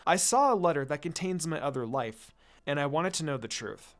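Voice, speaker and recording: A man's voice: on the high side, lively intonation, brisk, lightly acted. A man voicing an earnest, devoted teenage girl wizard in a story reading, with a sincere, pleading manner. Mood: earnest, confiding.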